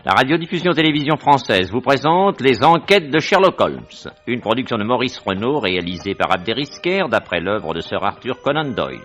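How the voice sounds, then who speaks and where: A male announcer reads a scripted 1950s radio introduction. His intonation is very animated, at a medium pitch, with some music behind him. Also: fairly quick, few pauses.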